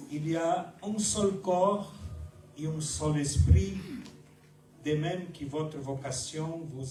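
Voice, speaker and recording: A pastor from Brazil with a Brazilian accent preaching a sermon in his fourth language, in slow, measured phrases with pauses between them. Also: a little high, dark tone, echoey room, recorded from a distance, a little hiss or hum, poor audio. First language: Portuguese.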